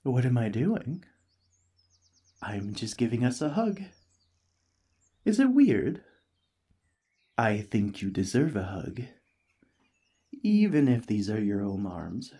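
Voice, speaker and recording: Man playing a husky, gentle, cheerful alien parasite. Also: mid-range voice, sing-song, slow pace, pauses often, exaggerated voice. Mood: cheerful, affectionate. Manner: gentle, chatty, playfully earnest. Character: cheerful, friendly young male alien parasite, eager and reassuring. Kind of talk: ASMR roleplay acting.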